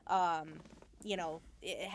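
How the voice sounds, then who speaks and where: A woman speaking casually as an interview guest, explaining her craft in a halting, thinking-aloud way. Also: lowish voice, fairly even intonation, a little hiss or hum. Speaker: Foley artist, interview guest.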